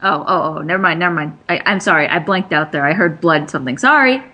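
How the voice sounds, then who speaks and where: A woman's voice: low-pitched, fast talker, sharp tone. A woman in a casual group discussion, throwing in blunt reactions. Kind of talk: casual chat.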